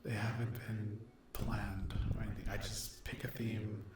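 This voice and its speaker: A man speaking softly to viewers in an ASMR style, hesitant and halting, often slipping into a whisper. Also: lowish voice, little pitch movement. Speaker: ASMR creator addressing viewers. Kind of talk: soft-spoken ASMR update. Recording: echoey room with loud noise, clicks.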